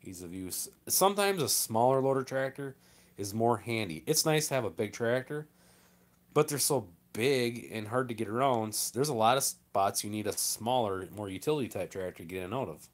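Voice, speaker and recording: A man's voice: medium pitch, lively intonation, normal speed. A man, a farmer chatting casually as he hosts a live stream and answers comments in a plainspoken, rambling way.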